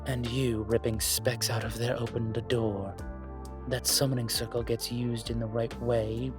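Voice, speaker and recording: A man playing a blunt, protective male spirit in an audio drama, with a husky voice and a matter-of-fact delivery. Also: medium pitch, flat delivery, a little slow, over music, lightly acted. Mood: grave warning. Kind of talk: audio roleplay acting.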